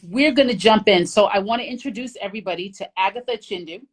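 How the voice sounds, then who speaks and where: A woman hosts a live talk show with a mid-pitched voice. She is upbeat and chatty and keeps prompting her guest. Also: fast talker. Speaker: host. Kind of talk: casual live-show hosting.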